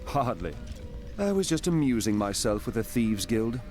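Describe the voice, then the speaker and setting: A man's voice: mid-range voice, big pitch swings, normal speed, a little mellow, faint music behind, close to an everyday voice. A man voicing a flippant, roguish thief in a fantasy video game, glib, casual and teasing. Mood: wry, flippant. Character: flippant, roguish male thief, breezily self-amused.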